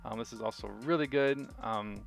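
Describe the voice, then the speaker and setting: A man's voice: medium pitch, normal speed, creaky, soft background music. A man giving casual, unscripted video game commentary, rambling and thinking aloud as host of the review.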